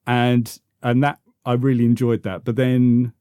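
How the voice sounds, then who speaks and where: A man's voice: mid-range voice, level tone, measured pace, warm tone, clean tone, no echo, clean studio sound. A man in his fifties with a London accent, reminiscing casually in an interview, hesitant and often correcting himself as he rambles along. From South London, England. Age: 50s. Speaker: interview guest, football podcaster.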